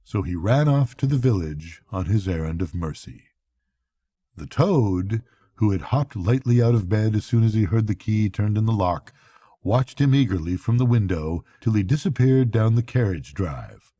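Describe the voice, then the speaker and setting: A man's voice: fairly low pitch, expressive, normal speed, warm tone, husky, no room sound, clean studio sound. An older man reading a children's bedtime story aloud as narrator.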